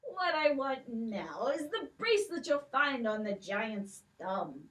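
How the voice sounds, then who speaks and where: A woman's voice: fairly high pitch, sing-song, slow pace, fairly bright tone, recorded from a distance, full character voice, rough recording. A woman playing a fussy old king. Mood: commanding. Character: fussy, grateful old king, fond of his gold.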